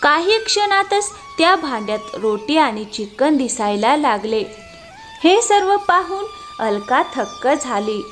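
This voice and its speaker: A woman's voice: high-pitched, very animated, measured pace, fairly bright tone, soft background music. A woman narrating a scripted cartoon story.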